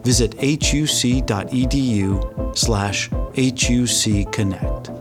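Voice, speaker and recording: A man reading a scripted promotional announcement in a brisk, announcer delivery. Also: medium pitch. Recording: voice over guitar music.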